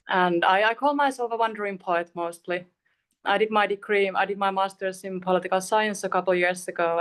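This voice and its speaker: A young woman from Eastern Finland with a Finnish accent introduces herself in a calm, reflective way. Her voice sits low and sounds smooth and resonant. She speaks from a video call. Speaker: performance poet. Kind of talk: spoken introduction and poetry reading.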